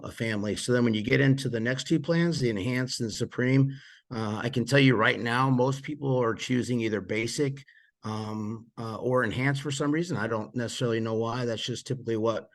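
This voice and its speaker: A man casually walking through insurance plan details, a little hoarse and losing his voice, with frequent fillers and restarts. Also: medium pitch. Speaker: presenter explaining insurance plans. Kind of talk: casual explanatory walkthrough.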